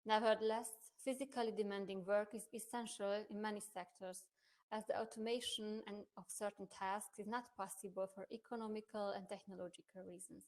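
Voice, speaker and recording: A young woman whose first language is Hungarian, a research associate presenting a prerecorded conference paper in a measured, careful academic manner. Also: mid-range voice, steady tone. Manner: measured, careful academic delivery with occasional restarts.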